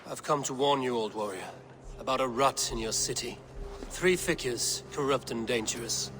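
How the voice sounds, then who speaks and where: An actor playing a stern, blunt male Viking warrior in a game cutscene, speaking in a steady, measured way. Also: mid-range voice, slight background noise, natural voice. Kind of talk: video game cutscene acting.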